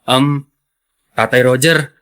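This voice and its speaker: Young helper, mid-range voice, respectful, hesitant, humble, radio drama. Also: male voice, medium pitch, steady tone, slow, fairly bright tone. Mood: shy, hopeful. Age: young adult.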